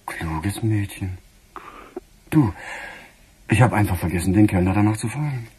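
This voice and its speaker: An actor playing a weary, middle-aged police chief in a radio crime drama, musing in a husky, sardonic, halting way. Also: male voice, lowish voice, warm tone, a little hiss or hum, natural voice. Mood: musing, confiding. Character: weary, embattled male police chief, brooding and gruff. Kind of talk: naturalistic German radio crime drama acting.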